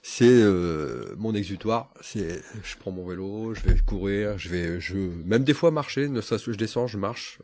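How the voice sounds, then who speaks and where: Deep-voiced relaxed French man. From northern France. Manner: relaxed, halting, laughs often. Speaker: podcast guest, former naval commando. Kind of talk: casual interview talk.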